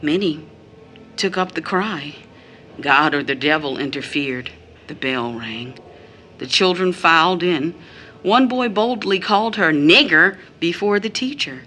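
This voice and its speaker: Bitter wounded mother, low bright voice. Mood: indignant, anguished. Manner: deliberate phrasing, quotes others in mimicked tones. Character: bitter, wounded mother recounting her young daughter's mistreatment. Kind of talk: audio drama monologue.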